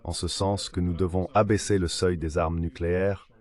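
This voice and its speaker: Very deep synthetic male voice. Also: little pitch movement, normal speed, darkish tone. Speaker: translation voice-over of an interview guest. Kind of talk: dubbed political analysis.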